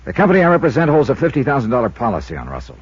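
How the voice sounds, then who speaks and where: A man's voice: medium pitch, huge pitch swings, very fast, breathy edge, no echo. An American man acting a dry, tough insurance investigator who narrates his case in a clipped, wry way, in 1950s radio drama style. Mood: dry, matter-of-fact. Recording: muffled vintage radio recording, music behind.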